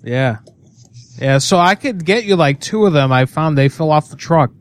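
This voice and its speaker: A man's voice: on the high side, lively intonation, normal speed. A man making a prank call, talking in a crude, mocking fake-salesman patter. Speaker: prank caller.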